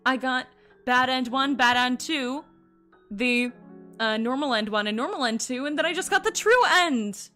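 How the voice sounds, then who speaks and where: A woman streamer reading a visual novel aloud and commenting on it, excitable and gushing, often giggling. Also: high voice, moderate pace, faint music behind. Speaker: VTuber streamer.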